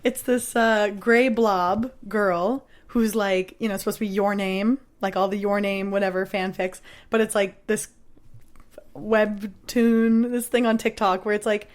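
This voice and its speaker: A woman comedian co-hosting a podcast, telling stories in casual chat in an animated, crass, quick-joking way. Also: medium pitch.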